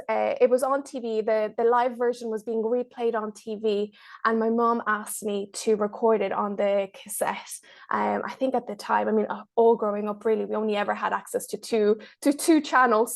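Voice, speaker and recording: A woman's voice: on the high side, gravelly, a little mellow. A woman, chatting casually as a podcast guest. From Ireland, born in Mexico. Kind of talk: casual interview chat.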